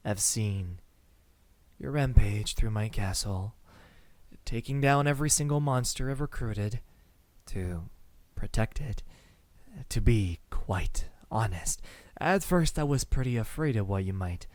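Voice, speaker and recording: A man voicing a possessive, tender, gentlemanly centuries-old vampire in an ASMR roleplay, speaking softly and intimately with sighs and pauses. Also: fairly low pitch, lively intonation, no room sound. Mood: smitten, teasing.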